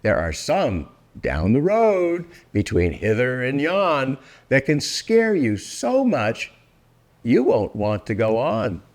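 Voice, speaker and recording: An older man reading a rhyming children's book aloud in a theatrical, deliberate way, drawing out words for effect. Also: mid-range voice, wildly swooping pitch. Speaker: storybook reader.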